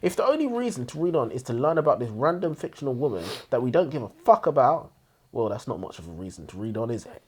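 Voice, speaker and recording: A man's voice: medium pitch, big pitch swings, fast, slightly rough. A man co-hosting a book review, giving opinions in an emphatic, scathing way.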